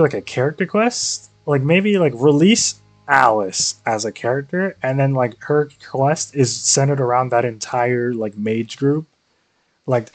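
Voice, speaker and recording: A man's voice: mid-range voice, no room sound. A young man giving casual, slangy, rambling commentary on a game livestream. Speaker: game streamer. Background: faint game music.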